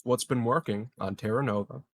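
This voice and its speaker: A man playing a populist political candidate, giving grave, deliberate oratory against corruption in a tabletop game. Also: mid-range voice, no room sound, studio quality, lightly acted. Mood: solemn accusing.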